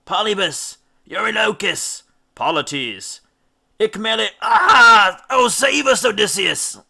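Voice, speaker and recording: Man acting terrified sailors, high frantic shouts. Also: sing-song, slow pace, vocal fry, full character voice. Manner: high, panicked calling and shouting. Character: terrified male sailors crying out to their captain. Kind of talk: dramatic storybook reading.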